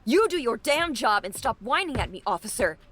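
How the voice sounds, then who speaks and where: A woman's voice: on the high side, sing-song, fairly quick, a bit bright, slight background noise. A woman playing a tough, no-nonsense police captain in an audio drama, brusque, sardonic and commanding. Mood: curt, irritated. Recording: dramatic music underneath. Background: music.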